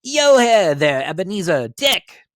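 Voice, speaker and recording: Casting: jovial old merchant, booming and oily, pitched high, storybook exuberance. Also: male voice, very animated, a little slow, dry recording, clean studio sound. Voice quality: rich, oily, fat voice.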